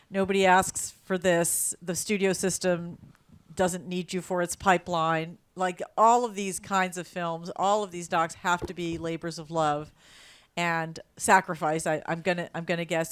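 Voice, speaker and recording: A woman, an editor-in-chief, moderating a panel Q&A and asking brisk, chatty questions. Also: fairly low pitch, clear, resonant tone.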